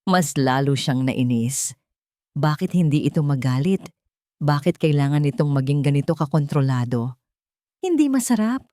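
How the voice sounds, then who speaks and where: A woman's voice: very deep voice, moderate pace, mellow, no room sound, studio quality. A woman with a Filipino accent reading a scripted dramatic story as its narrator.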